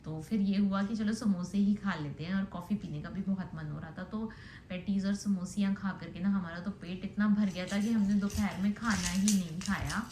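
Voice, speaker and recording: A woman's voice: medium pitch, steady tone, moderate pace, a little mellow. A young woman talks casually, as in a personal audio diary. She rambles in a conversational way and drops in English words. The recording is noticeably roomy, with faint noise behind her. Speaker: vlogger. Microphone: phone set down nearby. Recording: roomy echo with background noise.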